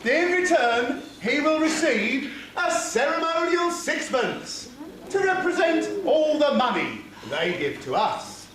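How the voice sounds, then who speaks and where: Very high, bellowing English town crier. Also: male voice, big pitch swings, crowd noise, full character voice. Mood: mock-pompous. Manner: declamatory, drawn-out proclaiming. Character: cheeky, bellowing pantomime town crier, mock-pompous. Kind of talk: amateur stage pantomime acting. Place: theatre stage. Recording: very echoey noisy theatre.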